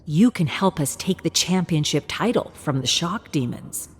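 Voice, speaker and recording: A woman's voice: deep voice, animated, normal speed, slight background noise. A woman voicing an earnest young female team captain, persuasive in manner, in a narrated game story.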